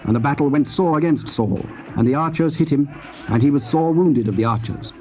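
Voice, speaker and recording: A man narrates scripture with solemn formality and measured phrasing. His tone is soft and dark. The sound is a muffled old cassette with faint hiss. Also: a little high. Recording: dull, hissy old cassette tape.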